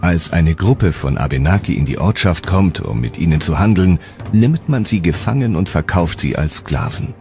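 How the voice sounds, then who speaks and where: Deep-voiced male documentary narrator. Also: expressive, moderate pace. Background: music.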